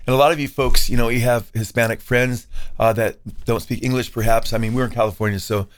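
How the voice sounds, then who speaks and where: A man's voice: medium pitch, steady tone, fast talker. A man co-hosting a casual discussion, talking loosely and quoting scripture with Greek words. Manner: conversational, quotes scripture with Greek terms. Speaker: co-host, pastor. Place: California.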